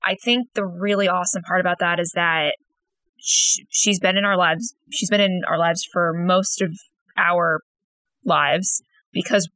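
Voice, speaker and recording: A woman's voice: lowish voice, fairly even intonation, a little slow, bright, forceful tone, dry recording. A woman in her twenties co-hosting a podcast, talking in an upbeat, gushing way with plenty of fillers. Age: 20s. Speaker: podcast co-host. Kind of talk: casual podcast interview.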